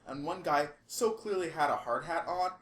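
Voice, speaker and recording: A man co-hosting a podcast, telling stories casually in an excitable, slangy way with some swearing. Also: fairly high pitch, moderate pace, a crowd in the background. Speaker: podcast co-host. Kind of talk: casual animated storytelling and banter. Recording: echoey room.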